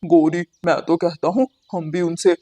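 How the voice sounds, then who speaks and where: A woman voicing a nosy, henpecked crow husband in a cartoon, with a husky, nagging delivery. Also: lowish voice, fairly even intonation, moderate pace, warm tone, voice pushed down, lightly acted. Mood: eager.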